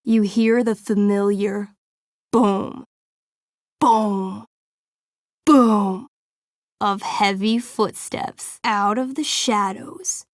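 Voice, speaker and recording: Young female game master: theatrical fantasy narration, unhurried and suspenseful, mid-pitched, close dry sound. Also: fairly even intonation, no echo. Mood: playfully dramatic. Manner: theatrical, suspenseful, deliberate storytelling. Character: young woman game master narrating fantasy tabletop adventure, playfully dramatic. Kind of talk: dramatic tabletop role-playing narration.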